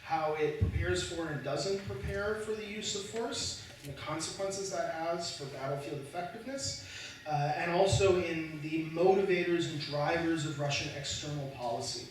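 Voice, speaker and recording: A man's voice: on the high side, normal speed, a little hiss or hum. Man in an echoey lecture room. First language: Slovak spoken at home as a child. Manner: hesitant, many fillers and restarts, dry humor. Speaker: guest speaker, historian. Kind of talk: academic panel talk. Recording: echoey room, far from the mic.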